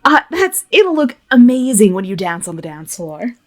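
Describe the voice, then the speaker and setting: A woman's voice: mid-range voice, sing-song, moderate pace, a little mellow, lightly acted. A woman voicing a sweet, hesitant young opera singer who speaks politely and stammers a little in a tabletop roleplay game. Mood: admiring.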